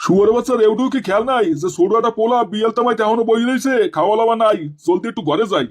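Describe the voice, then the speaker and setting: A woman's voice: a little high, very fast, natural voice. A woman voicing a foul-mouthed, scolding elderly village in-law in a comic cartoon, with a Barishal Bengali accent. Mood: grumbling, scolding. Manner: scolding, cursing.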